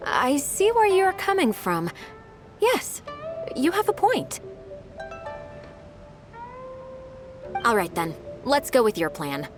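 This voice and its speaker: A woman playing a diligent, eager young secretary in a video game, brisk and polite as she methodically explains things. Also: high voice, wildly swooping pitch, over music, exaggerated voice. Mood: thoughtful, friendly.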